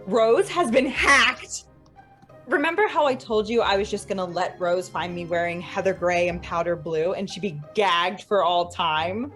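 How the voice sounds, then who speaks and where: A woman's voice: mid-range voice, unhurried, fairly bright tone, faint music behind, close to an everyday voice. A young woman playing a spiteful, domineering college student in a dramatic reading, with a catty, dramatic delivery. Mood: gleeful spite.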